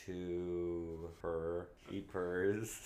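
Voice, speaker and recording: A man's voice: low-pitched, little pitch movement, drawn-out words, darkish tone, slight background noise. A man co-hosting a podcast, chatting casually with dry jokes. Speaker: podcast co-host.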